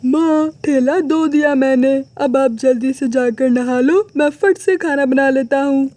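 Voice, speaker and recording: Woman voicing an earnest, mellow young son. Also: sits high, unhurried. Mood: earnest, caring. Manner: earnest, dutiful, polite. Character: devoted, hardworking, poor young son selling momos. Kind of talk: cartoon moral story acting.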